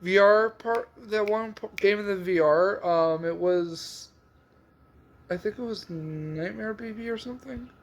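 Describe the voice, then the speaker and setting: A man's voice: high voice, a little slow. A man hosting a reaction video with casual, hesitant commentary, thinking aloud. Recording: loud background noise.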